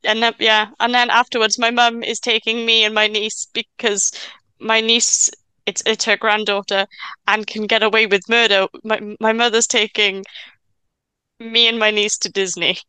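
Welsh-speaking woman, 20s, stuttering guest chatting; bright, clean, dry close sound. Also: on the high side, flat delivery, clear, resonant tone, no echo. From Wales. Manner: stammers and restarts, stutter; chatty, quick asides and laughs. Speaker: podcast guest. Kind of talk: casual chat.

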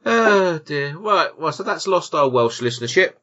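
A man's voice: fairly high pitch, lively intonation, moderate pace, fairly bright tone, muffled recording. A British man hosting a podcast, chatting casually with dry, jokey, sweary banter. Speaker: podcast host. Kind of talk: casual banter and film chat.

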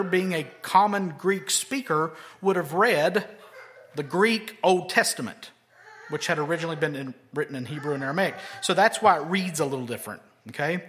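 A man preaching an expository sermon, moving between loud, emphatic lines and quiet, low asides. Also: a little hiss or hum. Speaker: pastor.

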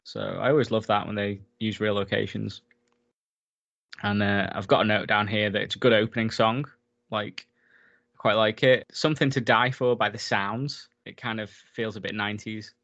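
A man's voice: lowish voice, steady tone, moderate pace, gravelly, a bit bright. Chatty man, slightly dull recording. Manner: enthusiastic, chatty, laughs often. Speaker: podcast co-host. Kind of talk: casual film recap chat.

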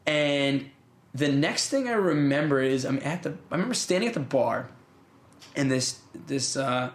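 A man's voice: mid-range voice, animated, normal speed, reverberant. Laughing man rambling through a story. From United States. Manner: rambling, laughing, often restarts sentences. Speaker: podcast co-host telling a story. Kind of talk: casual chat.

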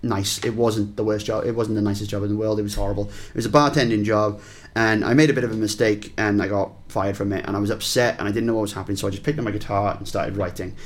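A man's voice: lowish voice, fairly even intonation, fast talker. Chatty Welsh man, rambling. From Wales. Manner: rambling, with many fillers and restarts. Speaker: guest musician. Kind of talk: casual interview chat.

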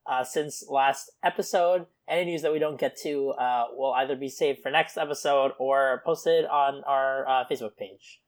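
A man's voice: mid-range voice, moderate pace, few pauses. A young man co-hosting a pop culture podcast, chatting casually and filling gaps with uh and um. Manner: relaxed, lots of uh and um fillers. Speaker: podcast co-host. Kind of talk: casual chat.

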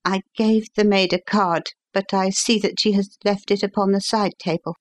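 A woman reading a story, voicing a pale, agitated young man who talks quickly in a strained, raised voice. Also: mid-range voice, fairly even intonation, natural voice. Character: young male engineer, pale, agitated, hysterical.

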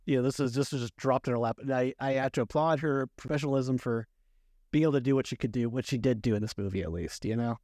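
Male co-host, mid-range voice, dry recording, informal halting pop-culture chat. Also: mid-range voice, a little mellow, dry recording. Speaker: podcast co-host. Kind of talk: casual movie and game discussion.

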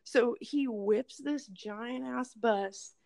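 A hoarse woman, casually telling a rambling, profane story as podcast host. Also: on the high side, drawn-out words, a little mellow. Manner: rambling, swearing, laughing storyteller. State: hoarse, losing her voice from illness.

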